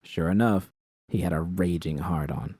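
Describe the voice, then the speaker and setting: A man's voice: low-pitched, normal speed, a little mellow, slightly rough, no echo. A man reading a first-person story as a scripted narration. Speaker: audiobook-style narrator of fan fiction.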